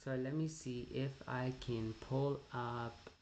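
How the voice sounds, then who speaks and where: A man with a mid-pitched voice talks slowly and casually, as a livestream host filling time. He murmurs and hums to himself between phrases, with faint noise behind him. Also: steady tone, a little mellow.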